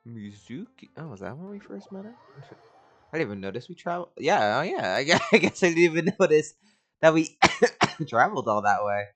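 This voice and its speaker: A woman's voice: sits low, big pitch swings, brisk, soft background music. A woman streaming a game, reacting casually in an informal, muttering way.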